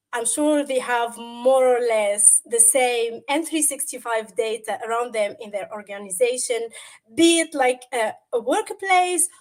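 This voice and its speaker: A woman whose first language is Arabic, explaining a tech topic on a livestream in a conversational way, with everyday examples and a lot of fillers. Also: on the high side. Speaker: guest presenter.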